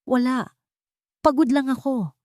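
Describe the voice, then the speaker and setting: A woman's voice: high voice, fairly even intonation, unhurried, a little mellow, no room sound, studio quality, pitched above the performer's own voice. Guarded, cornered young wife acted by a woman. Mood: withdrawn. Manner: guarded, terse, defensive. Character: cold, cornered young wife hiding a painful past. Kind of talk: dramatized audio romance story.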